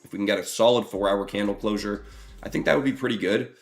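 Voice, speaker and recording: A young man with a hoarse, roughening voice, casually talking to his live stream chat with lots of fillers. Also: lowish voice, fast talker. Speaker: trading streamer.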